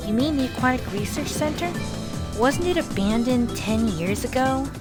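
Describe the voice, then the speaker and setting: A woman's voice: sits high, lively intonation, normal speed. A woman voicing a cautious, polite young hedgehog in a comic dub, reserved and inquisitive. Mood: curious, hesitant. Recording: prominent background music.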